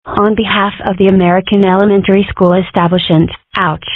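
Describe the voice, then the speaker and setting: A woman voicing a courteous, reassuring school psychiatrist in a comic strip dub, formal and measured. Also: mid-range voice, flat delivery, dry recording, natural voice. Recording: muffled, phone-like narrow sound.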